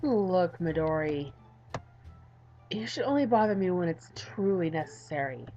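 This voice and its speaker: A woman voicing an exasperated adult male game developer in a visual novel, deadpan and condescending. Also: on the low side, measured pace, lo-fi sound, soft background music, voice pushed down. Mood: stern.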